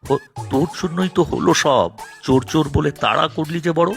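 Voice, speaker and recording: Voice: mid-range voice, a little fast, vocal fry, faint music behind, lightly acted. A performer voicing a grumpy, squeamish young groom in a children's cartoon, grumbling and stammering when flustered. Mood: flustered, irritated. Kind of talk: Bengali children's cartoon voice acting.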